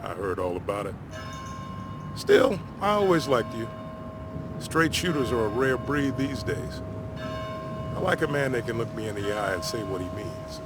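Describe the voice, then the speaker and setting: An actor playing a blunt, folksy, world-weary middle-aged American ex-miner, friendly and wry, in naturalistic video game style. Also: male voice, mid-range voice, big pitch swings, moderate pace, long pauses, very noisy, lightly acted. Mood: wry, frank.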